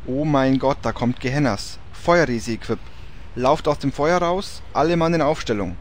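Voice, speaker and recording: Exasperated fantasy raid boss played by a man: medium pitch, commanding, faint noise behind. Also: normal speed, lightly acted. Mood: alarmed. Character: stressed, bossy raid leader. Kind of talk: German fantasy parody audio drama.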